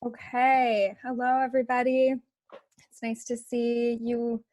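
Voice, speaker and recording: A woman's voice: fairly high pitch, level tone, unhurried, a little mellow, no room sound. A young woman from Alberta giving a presentation on a video call, talking with many fillers, restarts and little laughs. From Alberta, Canada. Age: young adult. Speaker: youth network presenter.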